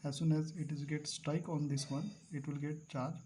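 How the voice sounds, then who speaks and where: A male teacher explaining a device to students, repeating key terms slowly and clearing his throat now and then. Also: fairly high pitch, flat delivery, mellow, slight background noise. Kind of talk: classroom lecture at a board.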